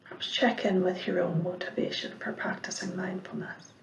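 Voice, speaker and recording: A woman giving guided meditation instruction as a mindfulness teacher, speaking in a measured, gentle way with pauses and soft, breathy phrase endings. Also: lowish voice, flat delivery, slight background noise. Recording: roomy, echoing sound.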